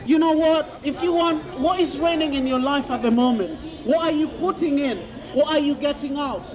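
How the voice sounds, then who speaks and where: Street preacher, man from Kenya, very high voice, dull lo-fi sound, open-air square. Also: moderate pace. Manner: repeats questions to passers-by, quotes scripture. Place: outdoors in a busy city square. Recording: lo-fi outdoor recording with crowd noise.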